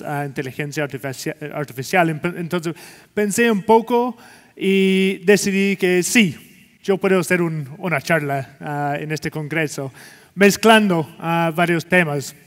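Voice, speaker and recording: Scottish guy speaking spanish, pretty high voice, lots of "ah"s, big hall echo. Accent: Scottish, speaking Spanish learned in Chile. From Scotland. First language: English. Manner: hesitant, many "ah" fillers and restarts. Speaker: keynote speaker. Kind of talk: conference keynote talk. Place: large conference stage with a long reverb.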